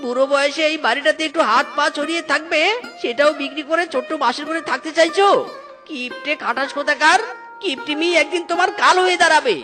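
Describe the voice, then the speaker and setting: High-pitched cheeky young sidekick. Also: male voice, quick pace. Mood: exasperated scolding. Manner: teasing, scolding, exclamatory. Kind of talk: Bengali comic cartoon dialogue. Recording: music bed behind the voice.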